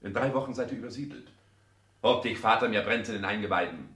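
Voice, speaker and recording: A driven, domineering son in a vintage radio play. His voice sits fairly low and he declaims in clipped, imperious phrases. The studio around him sounds roomy. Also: quick pace, fairly bright tone. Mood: impatient, commanding. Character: driven, domineering adult son and social climber. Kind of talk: German radio play acting.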